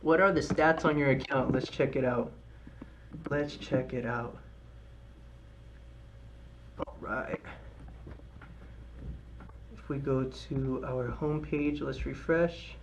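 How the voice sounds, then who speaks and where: A man's voice: mid-range voice, steady tone, takes it slowly, pauses often, lo-fi sound, slight background noise. A man with a light voice hosting a live trading stream, chatting casually with viewers and cheering on his trades. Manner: hyped, cheering and chanting during trades.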